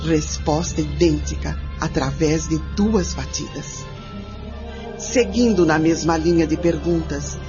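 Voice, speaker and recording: A woman playing a bold, curious nineteenth-century mother questioning a spirit in a radio drama, deliberate and formal. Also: sits low, natural voice. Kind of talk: radio drama acting, first-person recounting. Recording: muffled radio drama with music behind.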